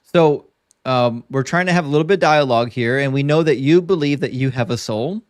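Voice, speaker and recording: A man's voice: medium pitch, fairly quick, no room sound, studio quality. A man moderating a live online debate as host, firm and direct with his questions.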